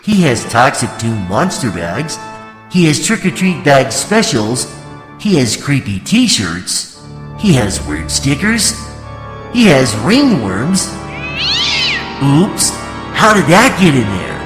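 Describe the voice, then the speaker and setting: A man voicing a creepy, gleeful monster-show pitchman, hammy and theatrical, in a comic spooky radio ad. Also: big pitch swings, takes it slowly, pauses often. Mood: gleefully creepy. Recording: echoey long reverb, music underneath.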